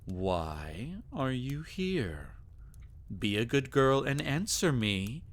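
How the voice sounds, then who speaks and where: A sadistic vampire lord, acted by a man in an intimate ASMR roleplay. He speaks slowly and theatrically, with lively rises and falls in pitch. His manner is possessive and taunting, wrapping menace in silky endearments. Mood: menacing, seductive.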